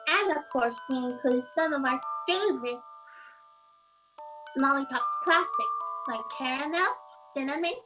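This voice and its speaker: Very high-pitched little candy girl. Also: distant mic. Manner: gushing sales pitch. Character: Lolly, bubbly little candy-selling girl in a radio ad. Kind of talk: 1940s radio commercial acting.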